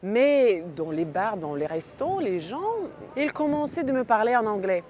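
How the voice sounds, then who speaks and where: A woman with a Ukrainian accent speaking in a documentary interview, animated and mocking, laughing easily. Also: fairly high pitch, a little slow.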